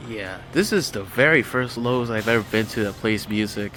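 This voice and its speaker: A man's voice: on the low side, normal speed, pushed and bright. A man giving casual, matter-of-fact walk-through commentary as a hobbyist vlogger inside a large store. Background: background music in a store.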